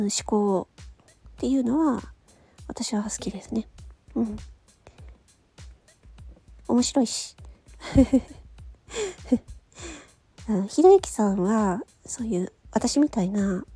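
A woman with a high, very animated voice that is mellow and breathy. She talks casually on her own in a questioning, analytical way, as if reasoning things out with the listener. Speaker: host of a solo audio show, amateur voice actor. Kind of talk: casual solo chat.

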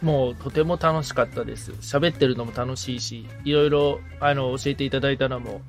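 A man's voice: medium pitch, fairly even intonation, a little fast, soft background music. A man who is a novice podcaster, chatting casually and candidly as the assistant on a show. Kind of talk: casual chat.

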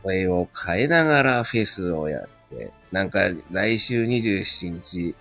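A man's voice: low-pitched, animated, unhurried, fairly bright tone. A man chatting casually as a guest, polite with folksy turns of phrase, with music behind him. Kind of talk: casual chat.

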